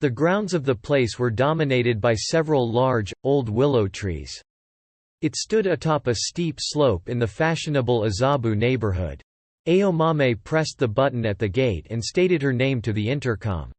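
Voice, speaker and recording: Animated male audiobook narrator. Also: mid-range voice, normal speed, no echo.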